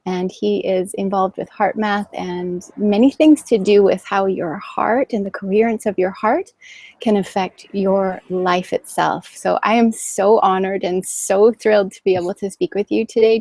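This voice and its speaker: A woman's voice: mid-range voice, fairly even intonation, moderate pace, a little mellow, no echo. A woman hosting an interview, greeting her guest in an effusive, enthusiastic way. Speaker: interview host.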